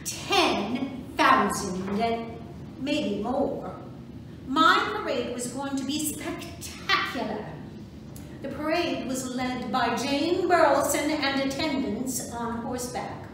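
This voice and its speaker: A woman playing a proud, brisk suffragist parade organizer in an amateur reader's theatre monologue. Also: high-pitched, animated. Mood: proud, boastful. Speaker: volunteer docent performer. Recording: very echoey, distant, noisy room recording.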